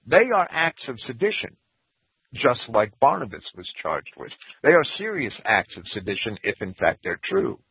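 A man's voice: normal speed, gravelly, slightly rough, no echo. A man giving a Bible commentary in a lecturing way, reading scripture quotes aloud. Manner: lecturing, reads quotes aloud, lively pitch. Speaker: Bible teacher. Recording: tinny phone-like radio broadcast.

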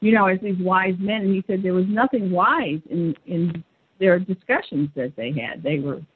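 A woman's voice: on the low side, moderate pace, husky. A woman in a conversational discussion, speaking somewhat hesitantly as she searches for her words. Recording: muffled, narrow phone-like line.

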